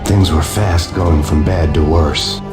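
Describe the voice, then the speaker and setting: Brooding vengeful noir narrator, rock-bottom monotone, world-weary, game voice-over. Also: male voice, a bass voice, slow. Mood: grim. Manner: deadpan, weary. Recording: roomy reverb, music behind.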